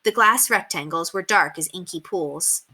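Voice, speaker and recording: A woman reading a children's book aloud as an expressive, emphatic storyteller. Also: fairly low pitch, moderate pace, breathy edge. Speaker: read-aloud reader.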